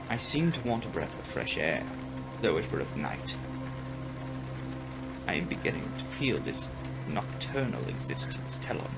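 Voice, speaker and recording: Male audiobook narrator, lowish pitch, creaky edge, dramatic literary reading, music underneath. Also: low-quality recording. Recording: loud music behind narration.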